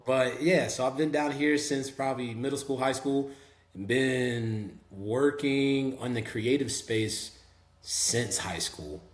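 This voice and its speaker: A young man from Memphis with a Southern accent, talking relaxed and chatty as a guest on a podcast, with many "um"s and "you know"s. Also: mid-range voice, slow. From Memphis, Tennessee. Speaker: guest rapper. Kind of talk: casual podcast interview. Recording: echoey room with faint hum.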